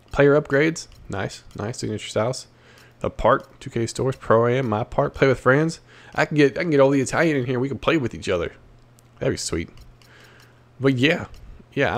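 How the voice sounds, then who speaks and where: Male gaming host, medium pitch, casual and slangy running commentary, repeats himself, answers the game aloud. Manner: slangy, repeats phrases, talks back to the game.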